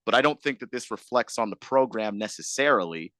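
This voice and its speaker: A man's voice: fairly low pitch, expressive, fairly quick, a bit bright, dry recording, studio quality. A man co-hosting live sports radio, casually running through player news. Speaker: radio co-host.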